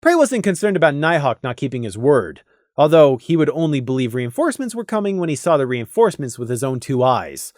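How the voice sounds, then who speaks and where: Expressive man narrating a story, close dry sound. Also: a little high, dry recording. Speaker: audiobook narrator. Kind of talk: scripted dramatic story narration.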